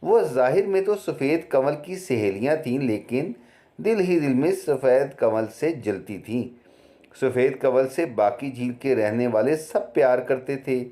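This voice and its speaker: A man narrating a children's fairy tale in a measured, deliberate storytelling manner. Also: mid-range voice, expressive, mellow.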